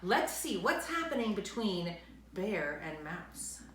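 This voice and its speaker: A woman narrating a children's story aloud in an animated, dramatic way, speaking directly to her listeners. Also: mid-range voice, slow pace, a little hiss or hum. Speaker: read-aloud storyteller, teacher. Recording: roomy echo, distant microphone.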